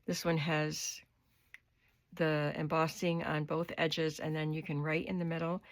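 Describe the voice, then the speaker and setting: Older woman, hoarse, slow and gravelly. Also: sits low, flat delivery. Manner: chatty, unhurried, describing items up close. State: hoarse with a cold. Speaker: craft vlogger. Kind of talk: casual show-and-tell haul. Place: home craft studio.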